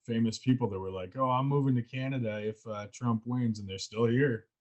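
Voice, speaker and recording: A man hosting a casual podcast conversation, agreeable and quick to back up his guest. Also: medium pitch, steady tone, lo-fi sound. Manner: agreeable, chiming in with short affirmations. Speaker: podcast host.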